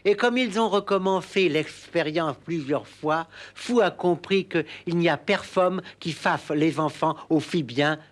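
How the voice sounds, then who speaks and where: A man's voice: fairly high pitch, a little slow, few pauses, lightly acted. A man playing a pompous, overdramatic poetry reader with a lisp-like impediment, in a comic recital. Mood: dramatic storytelling. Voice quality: muddled sibilants, lisp-like impediment.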